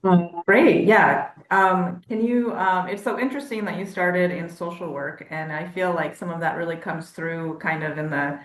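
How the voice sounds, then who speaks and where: A woman hosting an informal online artist interview as a museum curator, speaking in a hesitant, halting way. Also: fairly low pitch, fairly even intonation. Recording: roomy, echoey sound.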